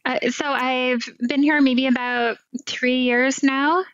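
A woman's voice: high voice, level tone, slow pace, a bit bright, smooth, no echo. A middle-aged woman from Hawaii, a guest on a video-call interview, speaking thoughtfully with small restarts through a headset mic. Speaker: guest, cloud AI expert. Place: home room with window blinds. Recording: slightly dull lo-fi call audio.

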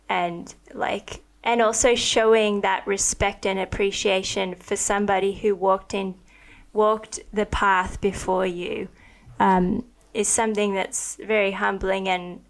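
Podcast host, young woman, ordinary pitch, eager and reflective, close studio mic at home. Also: creaky. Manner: thoughtful, enthusiastic, many likes. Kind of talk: conversational interview. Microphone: studio mic on a boom arm with headphones.

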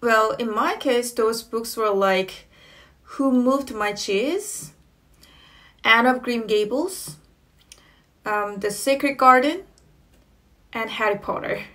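Slow, careful woman, Korean native. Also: a little high, pushed and bright. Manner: slow, careful, friendly with fillers like "you know" and "um". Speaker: English learning vlogger. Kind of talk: casual tips to camera. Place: small room indoors at home.

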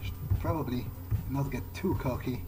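A man's voice: mid-range voice, normal speed, over loud music. A man doing in-character roleplay commentary over a video game, thinking aloud and often repeating words hesitantly. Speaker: gaming video player.

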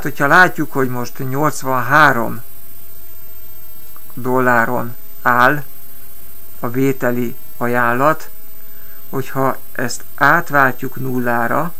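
A middle-aged man in a small home room, walking through valuation formulas in a measured tutorial and giving his own opinions. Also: medium pitch, sharp tone. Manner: measured, explanatory, offers personal opinions. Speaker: app developer explaining stock valuation formulas. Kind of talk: screen-recorded tutorial.

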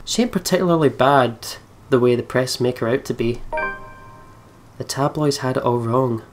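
Male gamer, mid-pitched, narrating visual novel text aloud in a storytelling style. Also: normal speed, over music. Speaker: let's play co-host reading aloud.

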